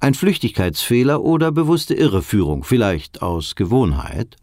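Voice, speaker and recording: An actor playing a sober documentary narrator in a radio play, measured and matter-of-fact. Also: male voice, medium pitch, animated, a little mellow, no echo, studio quality, lightly acted. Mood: sober, probing. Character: sober documentary narrator piecing together a stock scandal.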